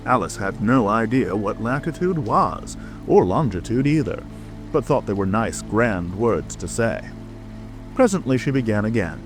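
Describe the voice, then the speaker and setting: A man narrating a children's storybook in an expressive, dramatic scripted reading. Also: fairly low pitch, measured pace, low-quality recording. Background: music.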